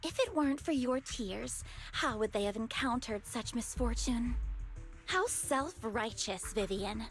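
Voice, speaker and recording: An actress playing a bitter, volatile young villainess in a video game, vengeful and obsessed, with a mocking, theatrical delivery. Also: female voice, on the high side, animated, unhurried. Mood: mocking, accusing. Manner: mocking, theatrical, swinging into shrieks. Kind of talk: dramatic video game voice acting. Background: game music.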